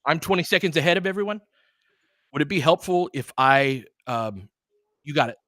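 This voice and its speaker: A man's voice: a little high, expressive, normal speed, fairly bright tone, no echo, clean studio sound. A man hosting a casual fan livestream, calling basketball in short clipped phrases mixed with chatty asides. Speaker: play-by-play host.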